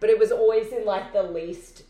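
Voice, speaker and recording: A loud, chatty woman hosting a podcast conversation, laughing often. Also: medium pitch, steady tone, normal speed. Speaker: podcast host. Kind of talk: casual podcast chat. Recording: roomy echoey room.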